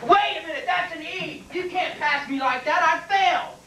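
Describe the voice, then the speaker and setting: A man's voice: very high voice, moderate pace, bright, forceful tone. A young man playing a nervous, evasive, blustering patient in an amateur comic stage play, stammering and protesting. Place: classroom stage before small audience, far camera. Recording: distant, echoey, noisy camcorder in classroom.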